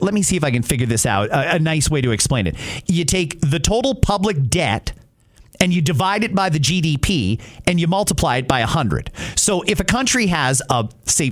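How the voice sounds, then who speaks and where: Male podcast host, high voice. Opinionated, emphatic, conversational commentary on politics. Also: sing-song, normal speed. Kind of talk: political commentary, answering listener messages.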